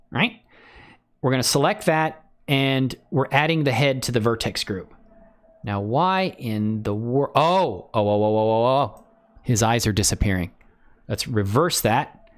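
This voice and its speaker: Male tutorial streamer, medium pitch, informal and exclamatory, explaining steps live. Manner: exclamatory, with woos and interjections. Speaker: livestream tutorial host. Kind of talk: casual live software tutorial with chat asides.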